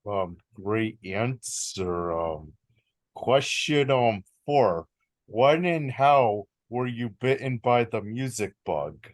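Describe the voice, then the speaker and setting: Young male interviewer, slow and hesitant, dry close recording. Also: medium pitch, lively intonation, dry recording. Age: young adult. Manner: hesitant, many ums. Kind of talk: reading numbered interview questions.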